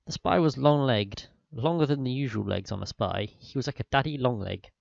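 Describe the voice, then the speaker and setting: A young man hosts a comedic read-aloud in a mocking, disbelieving way. His voice sits on the low side with a noticeable fry. The recording is dry and a little dull. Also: normal speed, no echo. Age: young adult. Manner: incredulous, mocking, exaggerated. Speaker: host reading fan fiction aloud. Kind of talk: comedic dramatic reading with reactions. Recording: dull lo-fi microphone.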